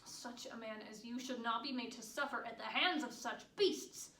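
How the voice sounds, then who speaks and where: A woman's voice: fairly high pitch, normal speed, a bit bright, lightly acted. A woman performing a theatrical period monologue as a devoted, proud country wife, with formal old-fashioned diction. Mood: worried, bitter. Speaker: stage actress performing monologues. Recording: echoey room, distant mic, background noise.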